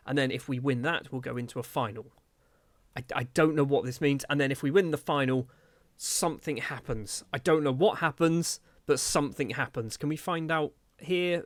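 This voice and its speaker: Man, slightly high voice, casual gaming commentary, chatty, rambling, self-questioning, close dry mic. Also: no echo. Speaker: gaming video host. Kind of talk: casual video game commentary.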